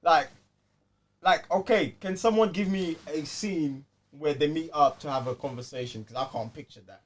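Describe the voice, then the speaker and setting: A man's voice: on the high side, moderate pace. A man bantering casually as a podcast co-host, emphatic and slangy, often repeating phrases.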